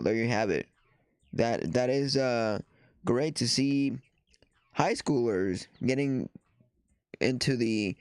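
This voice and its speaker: A man with a congested, stuffy nose talks through softball results and headlines in a halting way, stopping for fillers and restarts. His voice is smooth and even, and it sounds dry and close, with no room around it. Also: mid-range voice, no room sound. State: stuffy nose after the flu. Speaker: softball journalist hosting a show. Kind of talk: casual commentary and reading news aloud.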